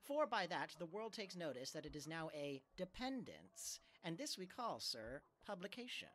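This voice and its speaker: An actor as a lively, officious pedant. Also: mid-range voice, moderate pace. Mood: grandly instructive. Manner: pedantic, ceremonious. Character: officious expert explaining procedure for quarrels. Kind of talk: early modern comedy audio drama.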